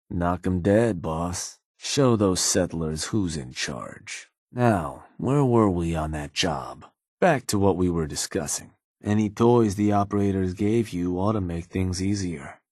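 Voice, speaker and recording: A cynical gang advisor from a video game, speaks in a very deep, husky voice. He is laid-back and slangy, with sly, folksy menace as he addresses his boss. The sound is close and dry. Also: expressive, takes it slowly, a little mellow, dry recording, exaggerated voice. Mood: businesslike, encouraging. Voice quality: husky, low. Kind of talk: video game character dialogue.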